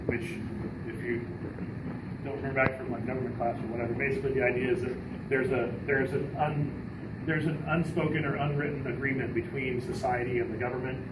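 A man in his thirties giving an informal, explanatory classroom lecture as a coach, casual and often rephrasing mid-sentence, picked up by a camera a few metres away. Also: medium pitch. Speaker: debate coach teaching a judges' clinic. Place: indoor classroom at a whiteboard. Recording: roomy echo, distant mic, background noise.

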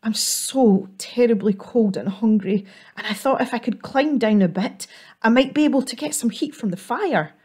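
A woman voicing a small, timid and eager male squirrel in a storybook, speaking in a high, breathless and polite way. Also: moderate pace, a little mellow, breathy edge. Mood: pleading.

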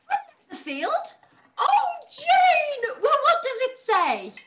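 Fussy, high-pitched mother. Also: wildly swooping pitch, slow, lo-fi sound, heavily acted. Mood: excited, gleeful. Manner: theatrical, exclamatory. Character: fussy, scheming, excitable middle-aged mother. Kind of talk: radio play acting. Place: room at home, on a video call. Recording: noisy video call.

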